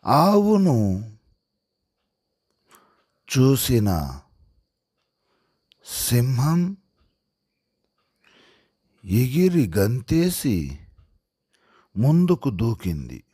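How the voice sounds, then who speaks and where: A man narrates a simple story as if dictating it for a writing lesson. His delivery is extremely slow and broken into single words and short phrases, with a lively rise and fall in pitch. The sound is close and dry, with very little room. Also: husky, no echo. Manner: deliberate, pausing word by word. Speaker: handwriting lesson presenter. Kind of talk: slow dictation-style storytelling read aloud while writing.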